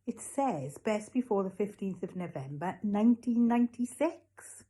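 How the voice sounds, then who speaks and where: A woman's voice: medium pitch, expressive, normal speed, mellow, slightly rough, pitched above the performer's own voice. A woman voicing a polite, sceptical young boy in a storybook, questioning and incredulous. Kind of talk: storybook character voice.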